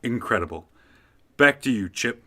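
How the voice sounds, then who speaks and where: A man's voice: medium pitch, lively intonation, moderate pace, sharp tone. A man acting an earnest on-the-scene television news reporter in a comedy radio play.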